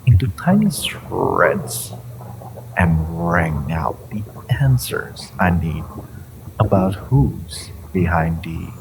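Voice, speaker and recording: A man playing an ancient, possessive hive-mind entity in an audio drama, condescending and theatrical, with amused menace and a low, creaky voice. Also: background noise, heavily acted. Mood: threatening. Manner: theatrical, condescending, halting with drawn-out menace and chuckles. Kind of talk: scripted audio roleplay addressed to the listener.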